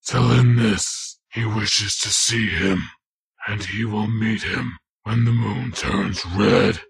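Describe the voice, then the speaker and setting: Gravelly, menacing giant dirt golem. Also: male voice, fairly low pitch, fairly even intonation, heavily acted. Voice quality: gravelly, very raspy. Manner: slow, menacing pronouncements. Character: hostile giant male dirt golem demon messenger. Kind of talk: amateur audio drama acting.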